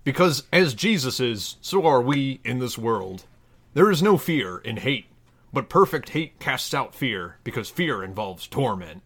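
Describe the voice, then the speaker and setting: A man playing a solemn preacher, giving a dramatic, declamatory reading of parody scripture with deliberate phrasing. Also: medium pitch, very animated, fairly bright tone, exaggerated voice.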